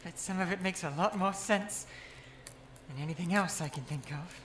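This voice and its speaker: A woman's voice: on the low side, normal speed, slight background noise, lightly acted. An actress playing a doubtful young woman who hedges and hesitates with many fillers. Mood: uneasy. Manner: hesitant, filler-heavy, unsure.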